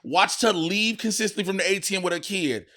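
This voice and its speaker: A man with a fairly high voice talks in casual, profane podcast style. He is opinionated and slips into imagined dialogue to make his points. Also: a little fast, sharp tone. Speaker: podcast co-host. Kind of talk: casual comedic podcast chat.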